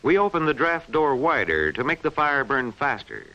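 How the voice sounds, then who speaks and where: Male narrator, for a school science film: forceful, lively intonation, precise and instructive, on a dull old soundtrack. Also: fairly high pitch, normal speed. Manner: clearly enunciated, didactic delivery. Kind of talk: scripted educational film narration. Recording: dull, band-limited vintage film soundtrack.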